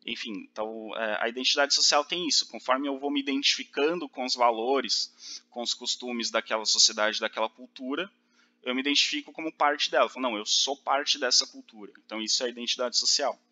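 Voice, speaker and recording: A man's voice: mid-range voice, a little fast, pushed and bright, lo-fi sound. A man teaching a recorded lecture, explaining step by step with fillers and check-ins.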